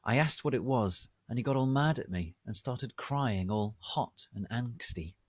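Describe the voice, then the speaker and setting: A man giving a theatrical, deliberate dramatic reading of fan fiction as its narrator. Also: mid-range voice, expressive, no room sound, clean studio sound. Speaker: narrator, reader.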